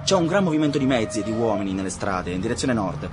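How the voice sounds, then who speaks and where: An actor playing an alarmed police brigadier in an Italian radio drama, reporting with urgency. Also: male voice, lowish voice, very animated, fast talker, music in the background. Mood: urgent. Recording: noisy, with vehicle ambience.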